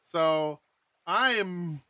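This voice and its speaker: A man's voice: high-pitched, very slow, sharp tone, no echo. A man hosting a live stream, chatting casually with friends and reading a visual novel's text aloud with rambling asides. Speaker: streamer host.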